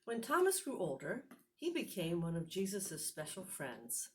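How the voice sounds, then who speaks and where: Female storyteller for a children's Bible read-aloud, slightly low register, expressive and measured, some noise on the recording. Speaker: host and story reader. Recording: clearly noisy recording with clicks.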